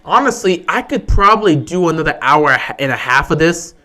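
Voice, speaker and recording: A young man with a sore, hoarse voice, giving casual live commentary on a football game he is streaming, resigned and frustrated. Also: on the high side, expressive, moderate pace, bright, forceful tone. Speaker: livestream host.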